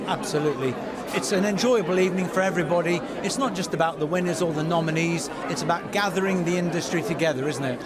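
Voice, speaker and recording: Man, high voice, upbeat podcast interviewer, very busy crowd behind, theatre event. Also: fast. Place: theatre venue during red carpet arrivals. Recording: busy crowd chatter in public venue.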